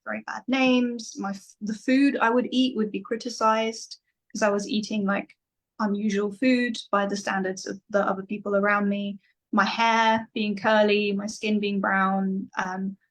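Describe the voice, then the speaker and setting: A thoughtful young woman from England, speaking as a guest in a relaxed interview. She talks in an unhurried, reflective way, often restarting her sentences. Her voice sits at an ordinary middle pitch. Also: rough recording. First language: Farsi. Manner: reflective, with frequent fillers and restarts. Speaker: guest musician. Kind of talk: casual interview talk.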